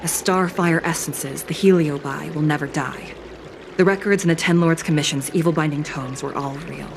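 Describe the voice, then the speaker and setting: A woman playing a composed, emotionless judge with an artificial body, speaking in a measured, formal way for a video game. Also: low voice, vocal fry, noisy background. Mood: grave, formal.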